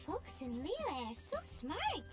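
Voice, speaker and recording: Squeaky, excited little fairy companion. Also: female voice, takes it slowly, music in the background, heavily acted. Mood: eager. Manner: high, squeaky, bouncy and eager. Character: tiny floating female fairy companion, excitable, food-loving. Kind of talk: video game voice acting.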